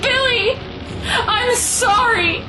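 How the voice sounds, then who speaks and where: A woman's voice: very high voice, very slow, music in the background, heavily acted, rough recording. A woman acting in an audio drama as an anguished, guilt-ridden mother, emotional and pleading. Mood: distraught, tearful.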